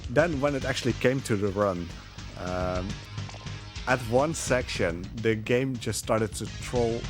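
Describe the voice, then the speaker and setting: A man's voice: medium pitch, measured pace. A man giving casual live speedrun commentary, hesitant and rambling as he plays. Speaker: speedrunner commentating on own run. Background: game music.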